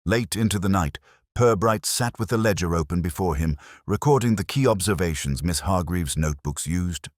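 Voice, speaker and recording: Male audiobook mystery narrator, very deep, measured and literary, lively intonation, dry studio sound. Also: no room sound.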